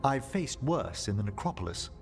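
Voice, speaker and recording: A man's voice: fairly low pitch, big pitch swings, moderate pace, creaky, over music. A man playing a courteous, erudite necromancer professor in a fantasy game, formal and theatrical. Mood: eager, proud. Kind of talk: dramatic video game acting.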